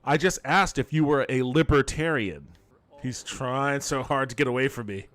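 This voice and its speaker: A man's voice: mid-range voice, moderate pace, fairly bright tone, no room sound. A man doing casual gameplay commentary as a streamer, talking in an amused, incredulous, sweary way. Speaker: video game streamer and podcast host.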